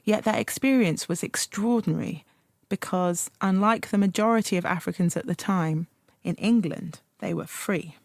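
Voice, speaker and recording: Low-voiced woman presenting a radio play. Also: normal speed, gravelly, dry recording, clean studio sound. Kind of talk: scripted historical narration.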